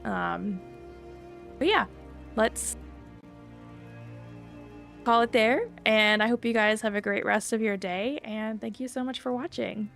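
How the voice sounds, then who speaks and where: A woman game streamer chatting casually and informally to viewers, rambling a little in a creaky voice. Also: fairly high pitch, takes it slowly, long pauses, fairly bright tone. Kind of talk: casual livestream chat over gameplay. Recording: faint music behind the voice. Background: faint game music.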